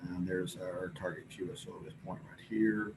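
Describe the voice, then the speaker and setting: Low-voiced man giving a halting science presentation, noisy recording. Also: little pitch movement. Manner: halting, hesitant explanation with pauses. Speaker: presenter at an astronomy symposium. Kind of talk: informal scientific slide presentation. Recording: clearly noisy recording with background ambience.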